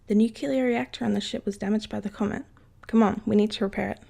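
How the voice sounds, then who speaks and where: A woman's voice: medium pitch, fairly even intonation, fast talker, gravelly, warm tone. A woman playing a knowledgeable, unflappable ship's engineer who explains things patiently in an educational cartoon. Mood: brisk, reassuring. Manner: patient, instructive. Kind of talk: scripted educational explanation in animation.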